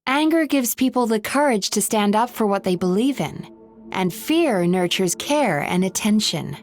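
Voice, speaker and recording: An actress playing a composed, firm and caring dream therapist in an anime video game, speaking in a measured, explanatory manner. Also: female voice, mid-range voice, lively intonation, soft background music, lightly acted. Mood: calm, correcting.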